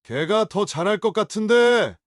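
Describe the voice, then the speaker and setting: An actor playing a hostile, hungover young adult son in an audio drama, sneering and confrontational. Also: male voice, high-pitched, animated, moderate pace, no room sound, studio quality. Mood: raging.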